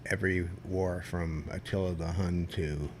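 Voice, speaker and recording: An older man, a veteran guest, telling stories in a rambling, halting way with many restarts and a loud voice that carries. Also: deep voice, steady tone, a little hiss or hum. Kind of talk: casual storytelling interview.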